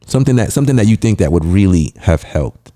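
A man's voice: low-pitched, lively intonation, fast, mellow. A man interviewing a guest, asking probing questions and giving brief affirmations.

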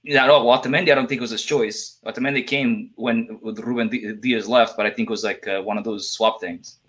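A man with a non-native English accent chatting about sports on a podcast, excitable, swearing and restarting his sentences. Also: mid-range voice, a little fast. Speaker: podcast panelist. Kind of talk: casual sports chat.

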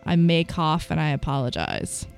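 Stuffy, slightly raspy woman hosting radio. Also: low-pitched, normal speed, faint music behind. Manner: self-deprecating, laughs often. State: stuffy and a little raspy from a cold. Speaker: radio show host. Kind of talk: casual chat.

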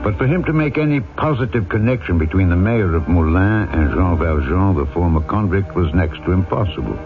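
Radio drama lead: decisive, authoritative former convict, lowish pitch, narrow old-broadcast sound. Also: male voice, lively intonation, music in the background. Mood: grave narrating. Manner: measured, authoritative. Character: grave, decisive ex-convict turned respected mayor, male. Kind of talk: radio drama acting with first-person narration. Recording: thin old radio recording.

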